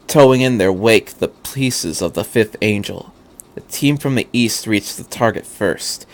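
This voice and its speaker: A man reading fan fiction aloud as a narrator, with an earnest, dramatic delivery. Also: fairly low pitch.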